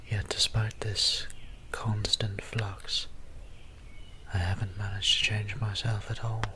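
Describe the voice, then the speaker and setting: A man playing a brooding, formal vampire priest in an audio drama, speaking softly and breathily with measured, old-fashioned diction. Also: fairly low pitch, noisy background. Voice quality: soft, breathy, whispery. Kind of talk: scripted intimate roleplay audio drama monologue.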